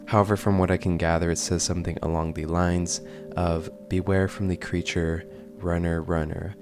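Young male narrator, very low register, scripted commentary, close mic, music bed. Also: creaky. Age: young adult. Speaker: host of horror video essay channel. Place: small room indoors at home. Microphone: large desk microphone, close.

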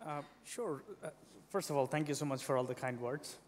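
A young man answers as a panelist in a semi-formal conference talk. His mid-pitched voice carries the long reverb of a large hall. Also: steady tone, fairly quick, a little hiss or hum. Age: young adult.

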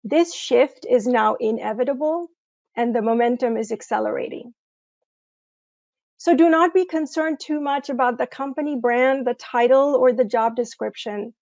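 A middle-aged woman giving a prepared, inspirational commencement address in a measured, earnest way with deliberate emphasis, speaking into an earphone mic indoors. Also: a little high, vocal fry, darkish tone, no room sound. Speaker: commencement keynote speaker, graduate of the school. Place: small room indoors, remote video recording. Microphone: wired earphones headset mic. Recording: dull, lo-fi video-call sound.

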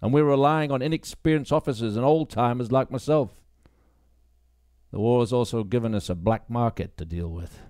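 A man's voice: mid-range voice, normal speed, mellow, no room sound, studio quality, close to an everyday voice. A man voicing an elderly male constable, an easygoing and kindly old-timer in an audiobook. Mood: reassuring, matter-of-fact.

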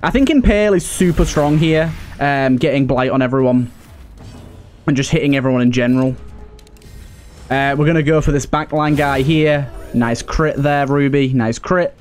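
A man's voice: mid-range voice, moderate pace, darkish tone, faint music behind. A man giving casual let's play commentary, thinking aloud and repeating phrases for emphasis. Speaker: gaming video host.

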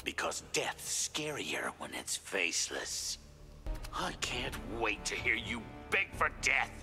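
An actor playing a gleefully menacing masked slasher killer in a video game, gravelly and teasing, drawing out his words. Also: lowish voice, sing-song, music in the background. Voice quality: gravelly, breathy at times. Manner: sinister, teasing, drawn-out delivery. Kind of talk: video game villain acting.

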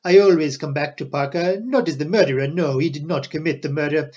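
Man playing an excitable, courteous foreign detective, raised pitch. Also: a little fast, husky. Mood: energetic, reasoning. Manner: emphatic, courteous, quaint foreign phrasing. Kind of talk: audiobook character dialogue.

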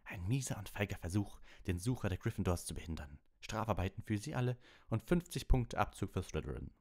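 A man's voice: fairly low pitch, animated, brisk, darkish tone, pitched above the performer's own voice. A man voicing a stern, outraged older woman schoolteacher in an audiobook. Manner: indignant, strict.

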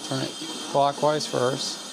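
Gravelly man explaining hands-on. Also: medium pitch, level tone, slow, a crowd in the background, rough recording. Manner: explanatory, poses and answers his own questions. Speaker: host of an electronics hobby channel. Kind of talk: casual hands-on demonstration.

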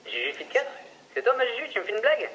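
A man's voice: very high voice, very animated, quick pace, crowd noise. A man on a casual phone call, heard through a phone speaker.

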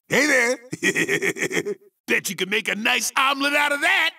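A man voicing an excitable, boastful chicken sportscaster for a video game, hammy and shouting, with a gravelly voice. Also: very high-pitched, slow pace, recorded from a distance, exaggerated voice. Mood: cocky, taunting. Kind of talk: exaggerated video game character barks.

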